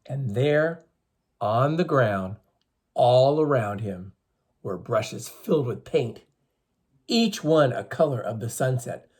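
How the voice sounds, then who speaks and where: Animated, husky male storyteller for children. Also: mid-range voice, slow. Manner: teacherly, emphatic, addresses listeners with questions. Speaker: storyteller reading to schoolchildren. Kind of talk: expressive children's story read-aloud.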